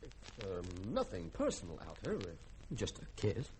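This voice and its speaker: Glib, flirtatious lieutenant, very animated. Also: male voice, low-pitched, moderate pace. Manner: coaxing, glib. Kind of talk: 1950s radio drama acting. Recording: noisy vintage radio recording.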